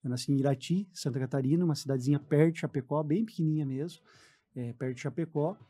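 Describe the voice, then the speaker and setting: A man in his forties with a southern Brazilian accent talks as a guest in a radio interview. He tells stories in a casual way. His voice is fairly high for a man and has a soft, mellow tone. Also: fast talker, husky. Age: 40s. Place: radio studio.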